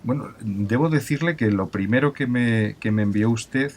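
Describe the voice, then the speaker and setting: A man's voice: medium pitch, normal speed, slight background noise, close to an everyday voice. A man playing an amiable professor of ancient languages, explaining in an emphatic, didactic and formal way, with many restarts, as in a recorded interview. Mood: explaining, certain. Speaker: university professor of biblical languages.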